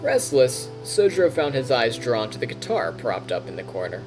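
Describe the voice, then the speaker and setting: A man, a streamer reading a visual novel aloud in an expressive storytelling style with casual asides. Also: medium pitch, normal speed, over music.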